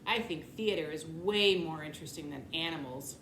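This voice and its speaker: A woman's voice: sits low, moderate pace, fairly bright tone. A woman voicing a sarcastic, whiny, dramatic older sister in a children's storybook, dismissive and exasperated. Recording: echoey room, clear background noise.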